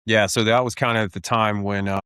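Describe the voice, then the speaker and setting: A man hosting a podcast who asks relaxed, curious follow-up questions. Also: on the low side, fairly quick, clean tone, no echo, studio quality. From Tennessee, USA. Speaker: podcast host. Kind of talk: conversational interviewing.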